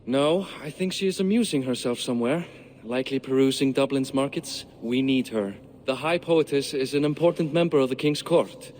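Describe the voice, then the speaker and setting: A man's voice: medium pitch, moderate pace, a little mellow, in a large hall, a little hiss or hum, close to an everyday voice. An actor playing an anxious nobleman with a formal, fretful way of speaking.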